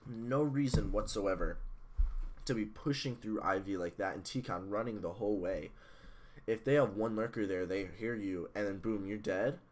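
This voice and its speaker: A man reviewing a match replay as a coach, blunt and analytical, a little hoarse from losing his voice. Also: on the low side, normal speed. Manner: analytical, blunt, swears at software glitches. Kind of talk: casual match review commentary.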